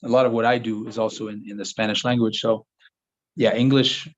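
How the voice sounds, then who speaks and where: A man whose first language is French, hosting a casual live-stream Q&A and answering viewers' questions in a relaxed, rambling way with many fillers. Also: fairly low pitch, brisk, highs cut off. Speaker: host of a live Q&A. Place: home office in Southern Ecuador.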